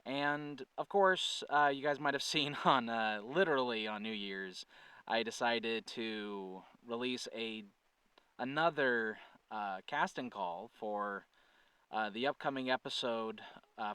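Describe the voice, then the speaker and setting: Clear-voiced man giving a casual channel update. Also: medium pitch, bright, forceful tone, no echo, far from the mic. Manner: earnest, conversational, thinking aloud with self-corrections. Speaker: channel creator and host, writer-producer. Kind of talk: casual update talk to viewers.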